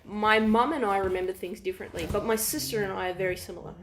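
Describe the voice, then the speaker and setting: A woman's voice: medium pitch, quick pace, a bit bright. Afrikaans-accented woman chatting in an echoey room. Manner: rambling, restarts often, thinks aloud. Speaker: podcast host. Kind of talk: casual chat. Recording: echoey room with faint noise.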